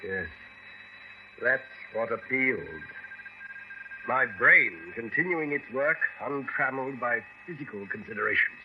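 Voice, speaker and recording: A man playing a proud, pensive academic philosopher in a radio drama, speaking in a hesitant, deliberate way. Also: mid-range voice, lively intonation. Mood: deliberate resolve. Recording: dull, slightly noisy vintage radio recording.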